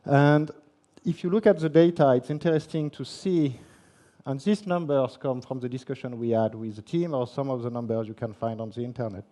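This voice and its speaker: French man, conference speaker, mellow low-toned voice, halting delivery with fillers. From France. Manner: halting, many fillers and restarts. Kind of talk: technical conference presentation. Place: conference hall.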